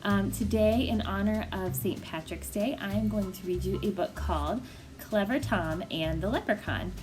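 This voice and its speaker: A woman reads a picture book aloud as a storyteller for young children. Her delivery is expressive and animated, at a middle pitch, with faint music around it. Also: normal speed.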